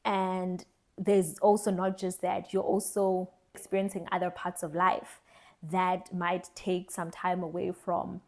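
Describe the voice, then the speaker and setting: A young woman from South Africa giving a candid, reflective vlog monologue, earnest and thoughtful, often pausing and restarting her words. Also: on the low side, monotone, a little mellow. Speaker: vlogger.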